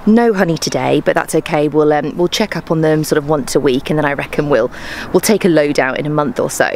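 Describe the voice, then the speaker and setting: A woman's voice: low-pitched, fairly quick, a little mellow, slight background noise. A young woman hosting a casual outdoor vlog in a garden, explaining to viewers in a chatty, upbeat way. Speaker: YouTube host. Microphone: handheld camera close up through a bee veil. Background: background music.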